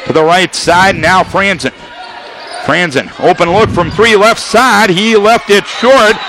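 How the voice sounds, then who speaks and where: A man calling a basketball game on radio, giving a quick, detailed call of every pass along with the score and the clock. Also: high-pitched, a little hiss or hum, rough recording. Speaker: play-by-play announcer.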